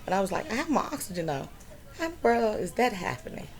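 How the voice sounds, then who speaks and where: A middle-aged woman vlogging from home, telling a personal story slowly with many fillers. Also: medium pitch, very animated, rough recording. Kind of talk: personal health story vlog.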